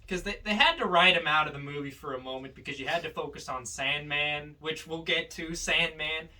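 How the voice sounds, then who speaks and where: A man co-hosting a podcast, casually discussing films in a halting, emphatic, opinionated way. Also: fairly high pitch, sharp tone, far from the mic. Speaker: podcast co-host.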